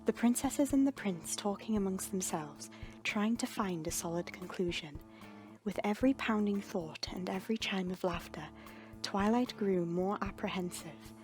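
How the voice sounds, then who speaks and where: A woman's voice: mid-range voice, moderate pace, darkish tone, rough recording. A woman reading fan fiction aloud as a scripted, dramatic story narrator. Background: background music.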